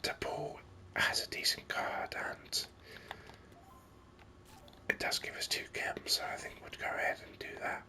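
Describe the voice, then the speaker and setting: A man whispering breathy, unhurried ASMR commentary over a video game, musing aloud close to the microphone. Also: sharp tone.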